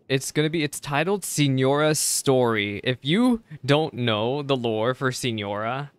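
A young man streaming games, giving casual reaction commentary in a chatty, halting way with repeated words. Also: medium pitch, a bit bright, clear, resonant tone, dry recording. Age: young adult. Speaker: game streamer. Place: indoor streaming setup. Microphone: close desk microphone, headset worn.

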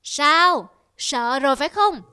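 A woman's voice: high voice, lively intonation, slow, pitched below the performer's own voice. A woman voicing an arrogant, boastful big male eagle in a cartoon, speaking with haughty scorn and threats. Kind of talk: cartoon voice acting. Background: loud music.

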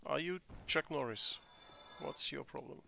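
Man, 40s, German accent, Bavarian, non-native English, gravelly, bright tone, slow, headset mic, joking gameplay commentary. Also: medium pitch, faint music behind. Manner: joking, talks back at enemies. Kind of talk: casual let's-play commentary.

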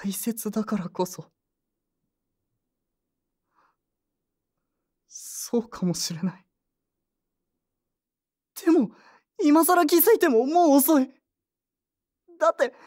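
A woman's voice: high voice, lively intonation, measured pace, long pauses, a little mellow. A woman playing an earnest, sentimental young man who grieves and clings to memories, polite and emotional, in an audio drama. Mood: sorrowful, then anguished. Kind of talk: Japanese audio drama acting.

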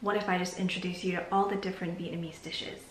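A woman in her thirties talking to the camera in a casual, reflective vlog from her bedroom, sometimes dropping to a soft near-whisper. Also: fairly low pitch, little pitch movement, fast talker. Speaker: vlogger. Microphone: camera mic at arm's length. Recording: echoey room with faint hiss.

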